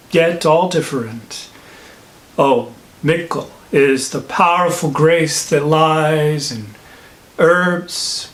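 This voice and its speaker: A man playing a wise old holy friar in a classical Shakespearean monologue, delivering verse in a deliberate, theatrical manner. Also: a little high, fairly bright tone.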